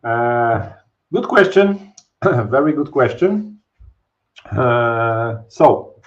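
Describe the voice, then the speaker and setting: A man with a Polish accent walks viewers through a security topic in a live online session. His voice sits low and comes over a dull, lo-fi webcam stream from a home office. He talks in a loose, explanatory way, with fillers and frequent "right?" checks. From Poland. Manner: explains casually, many fillers and "right?" tags. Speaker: presenter. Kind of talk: live technical webinar talk. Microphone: webcam video call.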